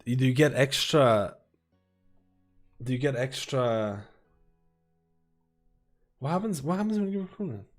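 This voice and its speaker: A man's voice: medium pitch, animated, soft background music. Casual male streamer reading aloud. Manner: reads aloud haltingly, restarts words, casual asides. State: losing his voice. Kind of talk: live-stream chat, reading a guide and viewer questions aloud.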